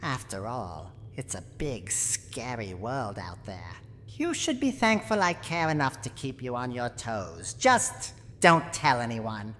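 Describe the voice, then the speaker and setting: A woman voicing a gruff, teasing old granny with a secretly tender side, in a theatrical roleplay ASMR monologue. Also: very deep voice, very animated, slow, few pauses, fairly bright tone, full character voice. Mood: teasing, protective. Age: older. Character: gruff, teasing tsundere granny guarding her haunted house. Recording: long reverb, clear background noise.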